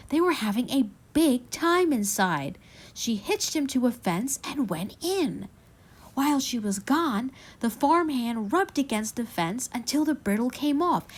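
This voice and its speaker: A woman reads spooky stories aloud in a fairly high voice. Her delivery is dramatic and deliberate, very slow, with a lot of melodic movement from phrase to phrase. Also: husky. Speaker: library story time reader. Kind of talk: reading spooky folk tales aloud to children.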